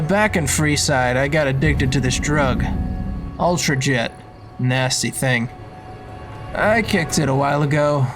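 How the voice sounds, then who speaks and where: A man plays a humble drifter down on his luck in a video game. He speaks hesitantly, as if confessing, in a slightly high voice. Some music sits behind him. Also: lightly acted. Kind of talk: video game character dialogue.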